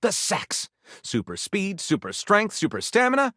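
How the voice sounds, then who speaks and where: Game narrator: theatrical, salacious, melodramatic storyteller, very lively intonation, dry studio sound. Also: male voice, sits high, unhurried, no room sound, exaggerated voice. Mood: melodramatic, gleeful. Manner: theatrical, suggestive, grandiose. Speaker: game character voice actor. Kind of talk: dramatic scripted narration.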